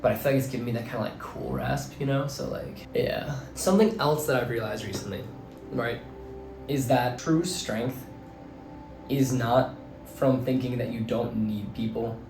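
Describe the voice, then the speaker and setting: Slightly hoarse young man rambling earnestly. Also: medium pitch, steady tone, normal speed. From New Jersey. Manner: rambling, filler-heavy, earnest. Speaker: vlogger and teacher. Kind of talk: casual reflective monologue. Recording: roomy echo, faint background music.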